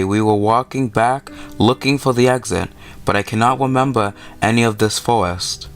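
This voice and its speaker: Male gaming host, lowish pitch, informal read-aloud of a visual novel, trips on words and corrects himself. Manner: stumbles over words while reading, correcting himself. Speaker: let's-play host. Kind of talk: reading a visual novel aloud with casual commentary.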